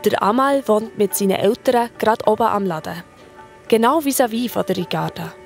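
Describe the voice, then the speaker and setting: A woman as narrator, telling a scripted children's story in a colloquial, expressive way. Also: mid-range voice, a little fast, creaky, faint music behind.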